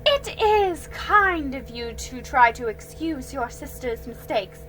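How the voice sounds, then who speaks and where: A woman voicing a haughty, grating aristocratic lady who speaks slowly and pompously in a high, loud voice. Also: sing-song, soft background music, full character voice, poor audio. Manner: pompous, slow and drawn out, shrill.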